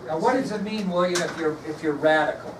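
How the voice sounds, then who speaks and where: Casting: American male schoolteacher, high voice, explanatory lecture delivery with repeated key phrases, picked up from across the room. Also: brisk. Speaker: history teacher. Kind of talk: classroom lecture with questions to students. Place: classroom. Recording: echoey room, distant mic, background noise.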